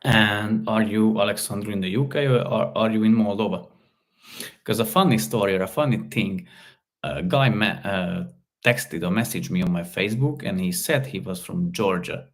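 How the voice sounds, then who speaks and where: Low-voiced Hungarian-speaking man chatting on stream. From Slovakia. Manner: halting, repeats words, many fillers. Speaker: live stream host, car repair hobbyist. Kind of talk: casual live stream chat reading comments.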